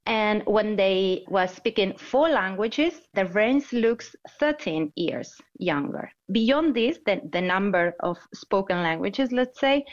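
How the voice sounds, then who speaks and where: A woman's voice: mid-range voice, dull audio. A woman researcher explaining her study in an interview, with small restarts. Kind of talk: interview answers.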